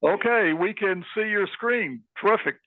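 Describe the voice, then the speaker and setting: A man's voice: sits high, lively intonation, normal speed, phone-quality sound. A man moderating an online workshop, encouraging and upbeat with the speakers.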